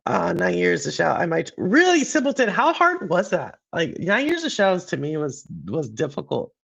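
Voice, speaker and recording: A man hosting a casual gaming podcast, his throat sore and his voice hoarse and deeper than usual, talking in a rambling way with many fillers and restarts. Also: huge pitch swings, moderate pace, fairly bright tone. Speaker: podcast host and streamer. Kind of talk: casual gaming and business commentary.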